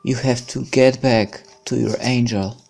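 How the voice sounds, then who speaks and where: A let's player slowly reading a visual novel's story aloud in a hushed, theatrical way, with casual asides. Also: medium pitch, steady tone, vocal fry, soft background music. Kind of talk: slow dramatic read-aloud of game text with casual asides.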